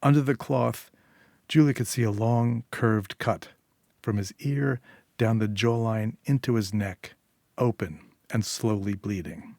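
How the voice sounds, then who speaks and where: A man's voice: fairly low pitch, measured pace, vocal fry, darkish tone, no echo, clean studio sound. A man reading a scripted short story as its narrator.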